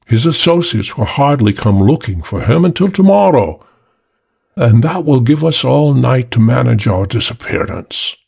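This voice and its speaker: A man's voice: mid-range voice, expressive, moderate pace, vocal fry, darkish tone, breathy edge, pitched above the performer's own voice. A man voicing a cold, superior male crook who drawls in a British accent. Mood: cold, drawling.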